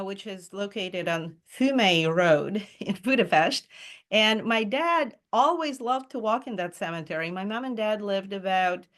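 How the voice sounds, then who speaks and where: Woman, reading group leader, Zoom call, chatty, enthusiastic, lots of ums, mid pitch. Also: a bit bright. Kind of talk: casual Zoom presentation. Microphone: video call.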